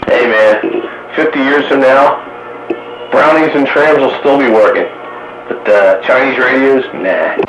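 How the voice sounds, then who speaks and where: A man's voice: mid-range voice, measured pace, fairly bright tone, highs cut off. A man chatting casually and plainly over CB radio, with some dry banter. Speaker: CB radio roundup regular. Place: recorded from a CB radio stream. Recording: roomy, noisy CB radio recording.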